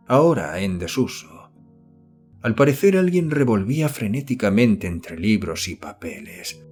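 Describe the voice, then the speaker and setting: A man's voice: medium pitch, darkish tone. A man reading a horror novel aloud as an audiobook narrator, in scripted literary narration.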